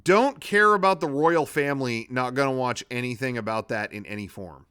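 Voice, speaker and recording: A man's voice: mid-range voice, normal speed, pushed and bright, clear, resonant tone. A man hosting a comedy web show, reading out his own drafts and adding blunt, sweary, casual commentary.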